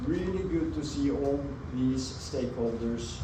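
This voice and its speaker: A man from Norway with a Norwegian accent, giving an earnest, measured presentation over slides at a community meeting and stressing key words. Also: mid-range voice. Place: meeting room with an audience. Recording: echoey meeting room, mic at a distance, audible background noise.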